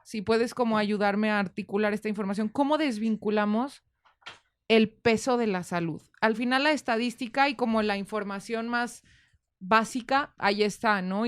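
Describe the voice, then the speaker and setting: A woman's voice: medium pitch, clear, resonant tone, no echo. A woman with a Mexican accent hosting a conversational interview, speaking in an informal, reflective way as she works toward her questions. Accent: Mexican Spanish. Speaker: podcast host.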